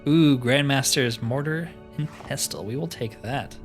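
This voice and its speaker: A man with a mid-pitched voice hosts a video game playthrough. He talks casually, thinking aloud in short, informal remarks. Music plays behind his voice. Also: measured pace. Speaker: gaming host.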